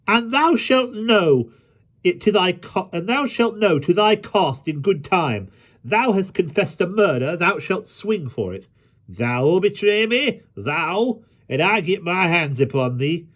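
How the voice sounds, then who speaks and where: A man's voice: high-pitched, lively intonation, unhurried, pitched above the performer's own voice. A man voicing a proud, angry boy king with haughty, archaic speech in a storybook reading. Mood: indignant, threatening.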